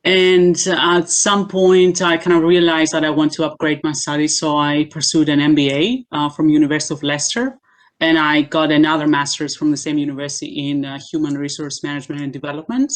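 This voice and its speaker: A woman from Greece answers questions in a casual interview over a video call. Her voice sits low and deep, and she speaks in a measured way, pausing and hesitating often as she gathers her thoughts. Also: little pitch movement. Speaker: guest, assistant professor.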